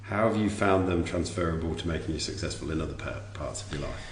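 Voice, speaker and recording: A man's voice: rumbling low, fast talker. A man hosting a casual, reflective interview, thinking aloud as he phrases his questions. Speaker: podcast interviewer and host. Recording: echoey room, faint background hum.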